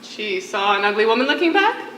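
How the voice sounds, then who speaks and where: A stage actress playing a playful, witty imprisoned singer with a teasing, dramatic manner. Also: female voice, mid-range voice, sing-song, fairly quick, fairly bright tone, distant mic. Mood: curious. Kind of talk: theatrical stage acting. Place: on a stage in a hall. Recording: echoey theatre stage, faint noise.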